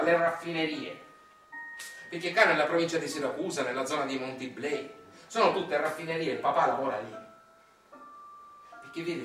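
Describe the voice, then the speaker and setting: Male actor as wry Sicilian refinery worker, high-pitched, impassioned stage storytelling, colloquial, very reverberant recording. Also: a bit bright, natural voice. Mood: fatherly. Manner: declamatory, colloquial, sardonic. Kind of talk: theatrical monologue on stage. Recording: echoey distant stage recording with music.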